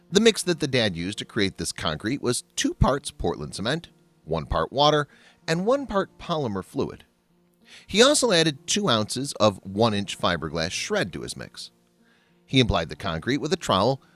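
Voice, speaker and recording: A man's voice: medium pitch, a bit bright, no echo. A man reading scripted voice-over narration in the manner of a how-to video. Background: background music.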